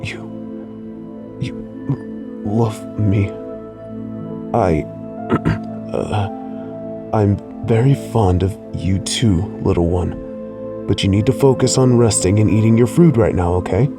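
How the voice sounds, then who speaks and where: A man playing a tender, guilt-torn lover in an intimate audio roleplay, speaking in a hushed, halting, soothing way. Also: fairly low pitch. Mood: fond, soothing. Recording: music under the voice.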